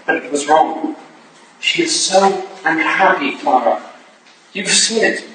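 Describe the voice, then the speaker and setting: Man playing pleading Victorian husband, high-pitched, stage play reading, distant noisy mic. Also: slow. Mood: earnest pleading. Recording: echoey hall, distant mic, hissy noise.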